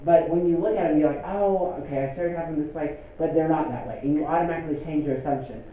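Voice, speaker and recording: A young male teacher gives a casual classroom lecture, often pausing to ask "okay?". His voice sits a bit high for a man. The sound is thin and phone-like, with heavy room echo and a faint hiss. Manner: conversational, checks in with "okay?".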